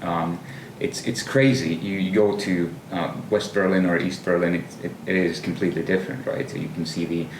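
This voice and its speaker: A young man with a very low voice talks in a relaxed, thoughtful way, pausing on fillers and restarting phrases. He sounds set back from the mic in a roomy space, with a faint hiss underneath. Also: distant mic. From Finland. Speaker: guest. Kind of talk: casual podcast chat.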